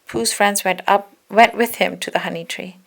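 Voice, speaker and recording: A woman narrates a children's picture book aloud. Her voice sits at a middling pitch with plenty of creak in it. She reads expressively, as a story for young listeners. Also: fairly even intonation, normal speed. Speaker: storybook reader.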